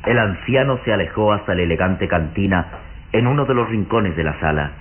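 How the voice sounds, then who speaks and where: Solemn low-voiced radio drama narrator. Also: male voice, lively intonation, slight background noise. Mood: solemn, suspenseful. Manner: solemn, deliberate. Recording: muffled old radio recording. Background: dramatic music.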